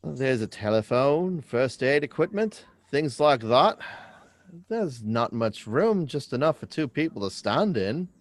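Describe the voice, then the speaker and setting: A man's voice: medium pitch, big pitch swings, measured pace, no echo. A man playing a curious, persistent but courteous schoolboy in a live radio drama reading, earnest and questioning. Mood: explaining.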